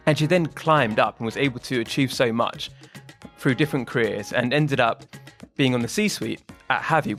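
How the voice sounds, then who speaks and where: Male podcast host, quick upbeat delivery, faint music underneath. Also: mid-range voice, a bit bright. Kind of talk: podcast intro and interview questions.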